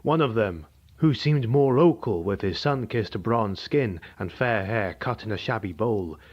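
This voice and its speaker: A man narrating a dark fantasy audiobook in a measured, dramatic way. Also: lowish voice, animated. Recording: dull lo-fi recording.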